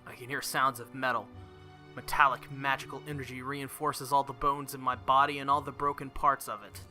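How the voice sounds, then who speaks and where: Male let's-play reader, very bright forceful voice, dramatic visual novel narration over music. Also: mid-range voice, level tone, brisk. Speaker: let's play video host. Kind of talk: reading visual novel text aloud.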